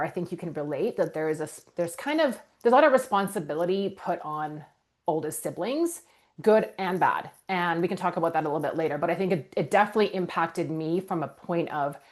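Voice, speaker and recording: A woman's voice: lowish voice, fairly quick. A middle-aged woman from Canada, whose first language is Ukrainian, earnestly and reflectively telling her life story as a podcast guest. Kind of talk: candid personal storytelling.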